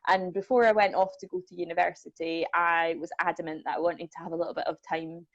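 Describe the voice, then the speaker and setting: A woman's voice: fairly low pitch, little pitch movement, fast, dry recording. Chatty Scottish woman, lo-fi sound. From Scottish Borders. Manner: chatty, self-deprecating, many ums. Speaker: regional welfare manager. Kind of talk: casual chat. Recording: dull lo-fi sound.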